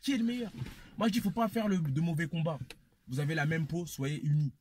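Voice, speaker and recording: A man's voice: a little high, expressive, fairly quick, a little mellow, slight background noise. A man, giving his opinion emphatically in a casual debate and repeating phrases for effect. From Congolese descent. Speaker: panel speaker.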